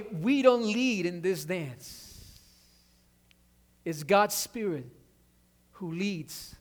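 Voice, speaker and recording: A man whose first language is Spanish, preaching a sermon with energy, often restarting words and asking for an amen. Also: high voice. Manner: animated preaching, repeats words, asks amen. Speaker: pastor.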